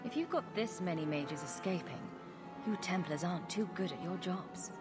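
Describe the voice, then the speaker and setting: Lowish female voice, actress as sardonic young adventurer, cocky, blunt, animated intonation, fantasy game, background music. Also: normal speed, lightly acted. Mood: sarcastic. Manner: wry, blunt. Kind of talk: video game voice acting.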